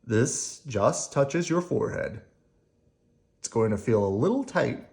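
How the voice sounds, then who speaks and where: An adult reader voicing a gentle, reassuring grown-up caregiver in a picture-book read-aloud. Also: medium pitch, expressive, measured pace, creaky. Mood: calm, soothing. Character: reassuring grown-up medical staff and parents, gentle.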